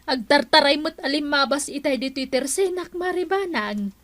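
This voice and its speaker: An actress with a Filipino Ilocano accent playing a chatty, coaxing village neighbour in a radio drama. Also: female voice, sits high, normal speed, fairly bright tone, no echo. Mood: concerned.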